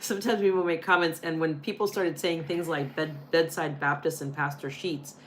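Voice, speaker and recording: An older woman talks in a low voice, chatty and quick to laugh. She is co-hosting a relaxed live Q&A. Faint noise sits under the recording. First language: Spanish. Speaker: co-host. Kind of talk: casual live Q&A chat.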